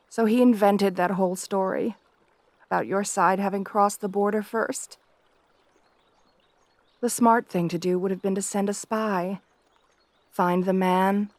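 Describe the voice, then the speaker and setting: Casting: actress as gentle princess bride for romantic ASMR audio drama; unhurried, hesitant, deferential, very dry close sound. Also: female voice, medium pitch, no echo, lightly acted. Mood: confiding. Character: shy, gentle, devoted young princess bride.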